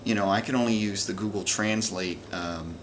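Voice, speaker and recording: A man's voice: lowish voice, steady tone, normal speed, slight background noise. A man casually showing off and talking through his video game purchases, rambling with many fillers and restarts. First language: English. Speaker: video game collector vlogger. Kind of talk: casual unscripted show-and-tell.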